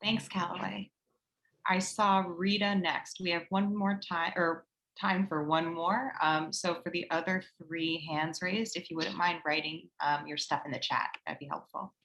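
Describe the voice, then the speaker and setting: A woman runs the discussion on a video call, calling on people in a bright, forceful voice. Her tone is brisk and practical, and her pitch sits a little low. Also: steady tone, slight background noise, rough recording. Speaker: session moderator. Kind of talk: moderating an online seminar.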